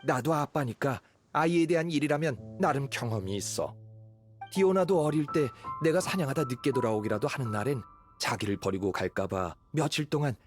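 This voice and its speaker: A man acts out a fatherly hunter of middle age in an anime game. He explains things in a measured, considerate and reassuring manner, at an average pitch, with faint music underneath. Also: expressive, natural voice. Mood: concerned, reflective.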